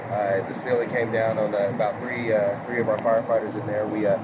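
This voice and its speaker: A man giving a press briefing as a fire department spokesman, haltingly, often repeating words. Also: lowish voice, flat delivery. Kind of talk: press briefing answering reporters' questions. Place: outdoors at a fire scene. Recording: very loud outdoor vehicle and engine noise.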